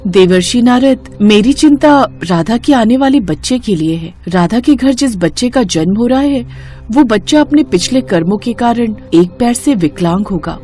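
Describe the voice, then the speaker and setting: A woman playing a serene, all-knowing mother goddess in a devotional story, with solemn, measured speech. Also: fairly high pitch, expressive, warm tone, soft background music, lightly acted. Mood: solemn, compassionate.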